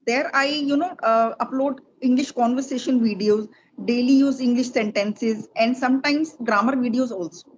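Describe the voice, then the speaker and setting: A woman's voice: on the high side, moderate pace. A middle-aged woman from Delhi with an Indian accent, chatting as a spoken English teacher being interviewed. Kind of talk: casual conversational interview in English. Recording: dull lo-fi sound with faint noise.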